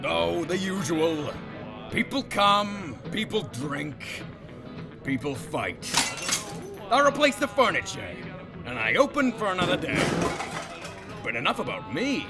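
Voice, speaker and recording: Folksy drawling saloon keeper guy, highish voice, really up and down, space western. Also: sing-song, slow, creaky, pauses often, heavily acted. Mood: wry, welcoming. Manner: drawling, theatrical, wry. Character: folksy, wisecracking male space-saloon barkeep, showy salesman. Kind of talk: space western audio drama acting. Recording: music under the voice.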